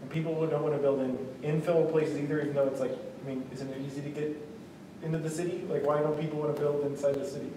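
A man's voice: on the high side, level tone, fast, noisy background. A man hosting an interview in an office meeting room, asking casual paraphrasing questions. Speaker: interview host. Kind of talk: onstage interview. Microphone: far room camera. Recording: very echoey room audio from far off.

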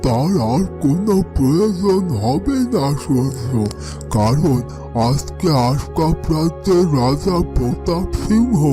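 Slow, deliberate fairytale guardian. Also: male voice, fairly high pitch. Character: calm, knowing male protector of a magical hideout. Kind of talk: melodramatic Bengali fairytale cartoon acting.

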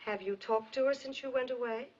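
High-voiced actress as a frank, worldly wife, old film. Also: female voice, a little fast, natural voice. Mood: pointed. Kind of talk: 1950s film drama acting. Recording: dull, lo-fi 1950s film soundtrack.

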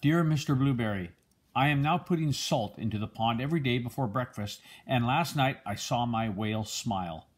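An older man with a husky voice reads a children's picture book aloud in a gentle, measured storytelling manner. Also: medium pitch. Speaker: storybook reader, a grandfather.

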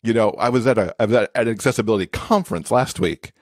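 A man's voice: fairly low pitch, fast, darkish tone, no room sound, studio quality. A man being interviewed as an expert guest, explaining things conversationally with everyday examples. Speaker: podcast guest, accessibility expert and author.

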